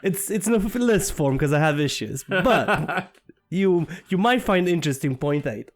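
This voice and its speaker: A man's voice: on the high side, animated, a little slow, slight background noise. A man co-hosting a casual podcast chat in a playful, teasing way, often restarting his words. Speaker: podcast co-host.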